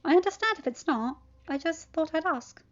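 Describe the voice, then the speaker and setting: A woman's voice: very high-pitched, lively intonation, normal speed, voice pushed up. A woman voicing a polite, earnest, slightly awkward teenage boy in a story reading, speaking respectfully and candidly with some hesitation. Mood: hesitant, polite. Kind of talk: audiobook character voice for fan fiction. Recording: dull lo-fi home recording.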